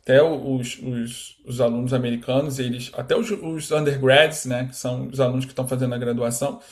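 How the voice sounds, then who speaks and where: A Brazilian man in his thirties from Rio, a guest talking casually in an interview, animated and full of fillers. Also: mid-range voice, fairly quick. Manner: animated, quotes imagined speech, many fillers.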